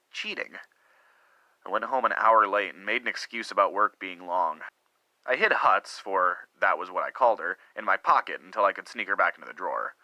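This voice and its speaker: A man acts out a middle-aged husband confessing a torrid affair in a melodramatic story reading. His voice is low and strikingly sharp and forceful. He speaks earnestly and theatrically, leaning on dramatic pauses and swells. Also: dry recording, rough recording. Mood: wistful, tormented, then obsessed, confessional.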